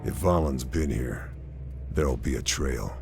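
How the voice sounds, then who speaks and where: A man's voice: a little slow, music in the background, full character voice. A man playing a grim, hardened army sniper, narrating a video game cutscene in a terse, stoic, cynical way. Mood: cool, focused. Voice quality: deep, gravelly.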